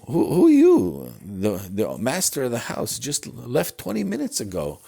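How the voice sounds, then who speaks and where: A man's voice: medium pitch, wildly swooping pitch, measured pace, creaky, dark tone, slightly rough, voice pushed up, heavily acted. A man voicing household servants who brush off a stranger. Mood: dismissive. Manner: curt, brushing off. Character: male household servants, brisk and dismissive.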